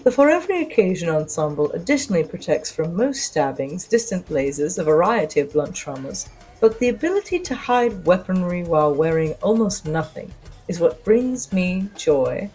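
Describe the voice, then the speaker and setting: A woman playing a witty, self-assured spy and socialite, narrating her own adventure with arch, wry, theatrical pauses. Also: lowish voice, very animated, warm tone, soft background music. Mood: playful, boastful. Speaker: narrator of an audio drama. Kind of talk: first-person scripted dramatic narration.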